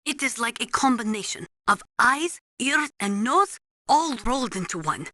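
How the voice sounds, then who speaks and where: Bright, serious weapons analyst. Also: female voice, fairly high pitch, animated, dry recording, studio quality. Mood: expert, explanatory. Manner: precise, matter-of-fact, measured. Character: serious, brisk female military weapons analyst. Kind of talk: video game voice acting.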